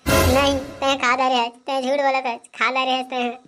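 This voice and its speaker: A woman's voice: sits high, little pitch movement, unhurried. Woman doing a whiny Chhattisgarhi little boy. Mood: insistent. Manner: nagging, insistent, repeats himself. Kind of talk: cartoon voice acting. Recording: music clearly behind.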